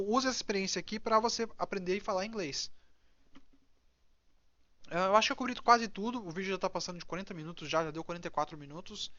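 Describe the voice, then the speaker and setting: A man's voice: high voice, a bit bright, no echo, lo-fi sound. A young Brazilian man giving casual advice to viewers in a rambling way, with many restarts and fillers, recorded indoors. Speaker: vlogger.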